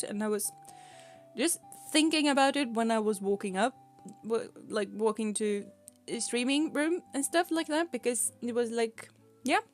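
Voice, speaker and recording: A woman with a Swedish accent, a native Swedish speaker, chatting casually on a stream, rambling and giggling as she talks. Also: fairly high pitch. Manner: rambling and giggly, often laughing mid-sentence. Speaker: VTuber streamer.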